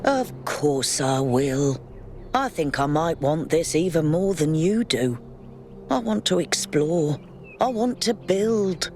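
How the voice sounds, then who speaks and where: A grumpy robot mechanic from a video game, speaks in a low voice with wide swings of pitch. She is blunt, wry and restless for more out of life. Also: animated, slow, a little mellow, slight background noise, heavily acted. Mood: wry, longing.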